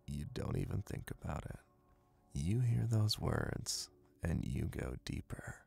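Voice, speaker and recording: A man acting as a confident, dominant young college hypnotist in an ASMR roleplay, speaking softly with soothing command. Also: low voice, expressive, vocal fry, husky, soft background music, exaggerated voice. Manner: soft, commanding, soothing, deliberate. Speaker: voice actor.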